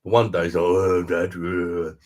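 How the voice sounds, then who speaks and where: Very deep-voiced man chatting casually. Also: little pitch movement, measured pace. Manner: laid-back, chatty, laughs often, swears casually. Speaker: beer review livestream host. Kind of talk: casual live chat reading viewer comments.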